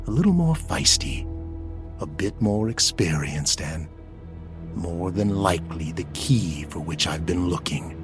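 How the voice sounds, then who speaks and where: Deep, mocking supervillain. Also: male voice, animated, a little slow, music in the background. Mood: mocking menace. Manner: taunting, theatrical, condescending. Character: menacing, mocking male supervillain in powered costume. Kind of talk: comic book dub acting.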